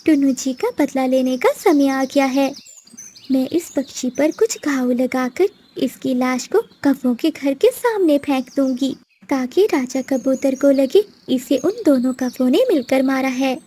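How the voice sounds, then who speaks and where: A woman's voice: high voice, a little slow, mellow. A woman voicing a scheming, vengeful female bird with an accusing, dramatic manner in a moral-story cartoon. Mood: scheming, gloating. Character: vengeful, scheming female bird plotting against wicked crows.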